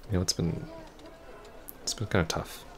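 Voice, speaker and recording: A man playing an awkward, apologetic young ex-boyfriend in an ASMR roleplay, halting and stammering. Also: a little hiss or hum, lightly acted. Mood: hurt, apologetic. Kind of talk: ASMR roleplay, soft intimate acting.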